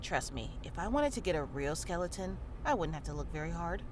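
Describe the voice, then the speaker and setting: A woman's voice: lowish voice, big pitch swings, brisk, slight background noise. A woman playing a casual, matter-of-fact, caring medic in a visual novel game. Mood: dry humor. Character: frank, caring female medic in a grim world. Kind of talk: visual novel character dialogue.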